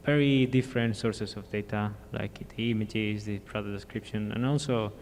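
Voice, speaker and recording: A man from Colombia speaking as a panelist at a conference, explaining his work plainly with some halting fillers. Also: on the low side, steady tone, darkish tone. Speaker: panelist, applied scientist. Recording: hall reverb with faint ambient noise.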